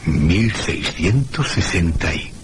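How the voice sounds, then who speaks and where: An actor playing an uneasy, inquisitive gentleman who narrates his own suspense story on the radio, brooding and deliberate. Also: deep voice, expressive, noisy background. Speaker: voice actor and first-person narrator. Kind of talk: dramatic Spanish radio suspense narration and dialogue.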